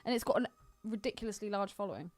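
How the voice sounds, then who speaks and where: A woman's voice: mid-range voice, fast talker, a little mellow. A woman co-hosting a casual podcast, chatting in an informal, slangy, unscripted way. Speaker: podcast co-host.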